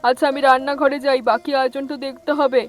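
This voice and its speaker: A woman's voice: high voice, little pitch movement, quick pace, lightly acted. A woman playing an affectionate, doting older village mother in a cartoon family story. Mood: caring. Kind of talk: animated family story dialogue.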